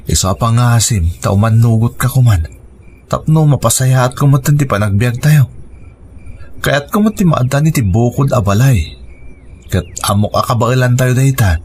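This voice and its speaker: A man's voice: mid-range voice, animated, normal speed, darkish tone, a little hiss or hum, close to an everyday voice. A man acting an earnest, dutiful young husband and son in an Ilocano radio drama. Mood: earnest persuading.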